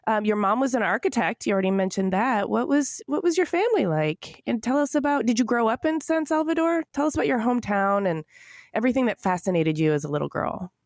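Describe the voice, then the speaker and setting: A woman's voice: on the high side, animated, fast talker, a little mellow, no room sound, studio quality. Upbeat woman hosting a podcast. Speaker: podcast host. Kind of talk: interviewing a guest.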